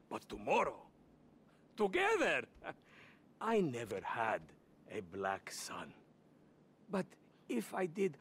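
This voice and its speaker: A man's voice: on the high side, slight background noise. A man acting a slick, smarmy middle-aged car dealer in a video game cutscene, effusive and grandiose in formal wording.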